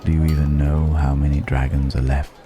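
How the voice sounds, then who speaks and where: A man playing a mysterious, philosophical ancient dragon disguised as a stranger, speaking in an intimate ASMR roleplay with long, pensive pauses. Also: rumbling low, level tone, dark tone, faint music behind. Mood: quietly reproachful. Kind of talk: ASMR roleplay, slow intimate dramatic monologue.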